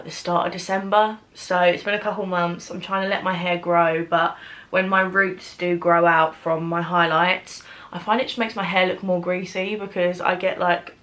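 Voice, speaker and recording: A woman's voice: fairly low pitch, a bit bright. A young British woman with a stuffy, bunged-up nose, rambling chattily as a beauty vlogger in a get-ready-with-me video. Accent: British English.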